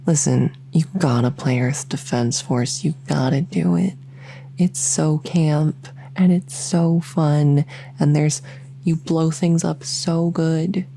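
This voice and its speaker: Woman playing a low-voiced, geeky, awkward vampire. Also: fairly even intonation, slow, creaky, mellow, a little hiss or hum, heavily acted. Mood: earnest rambling. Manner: rambling, enthusiastic info-dumping, many fillers. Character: lonely, geeky, socially awkward female vampire. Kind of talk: rambling ASMR audio roleplay. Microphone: close ASMR microphone.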